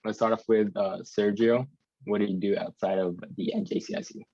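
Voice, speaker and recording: A man's voice: fairly low pitch, steady tone, fairly quick, darkish tone, no echo, muffled recording. A young man from northern New Jersey co-hosting a podcast chat, reflective and hesitant, with a lot of fillers. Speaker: podcast co-host. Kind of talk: casual chat.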